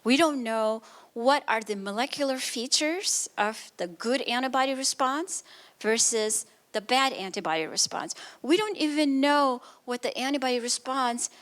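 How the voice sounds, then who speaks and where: A woman's voice: sits high, normal speed, fairly bright tone. Woman from Nepal explaining research. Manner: earnest, explanatory, frequent restarts. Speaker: immunology researcher. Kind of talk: public science talk with audience questions.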